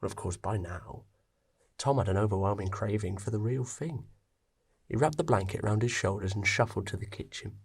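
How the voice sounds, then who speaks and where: Low, husky male storyteller. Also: moderate pace. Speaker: story narrator. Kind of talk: expressive scripted story narration.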